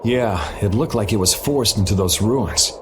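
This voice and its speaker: A cynical young game swordsman, voiced by a man, with a lowish voice. He talks bluntly and wryly, over clear ambient noise. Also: normal speed, lightly acted. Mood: matter-of-fact. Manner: wry, blunt. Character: cynical, irreverent, self-assured young swordsman. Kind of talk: dramatic video game voice acting.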